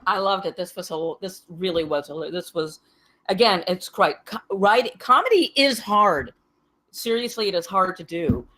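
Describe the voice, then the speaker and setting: A woman giving casual feedback, emphatic but halting with repeated words. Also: fairly low pitch, sharp tone. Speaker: script reader giving feedback.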